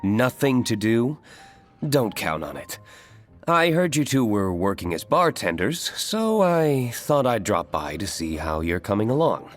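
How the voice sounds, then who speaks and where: A man's voice: fairly low pitch, big pitch swings, measured pace. A man voicing a reserved young male tavern owner, composed and curt. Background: faint background music.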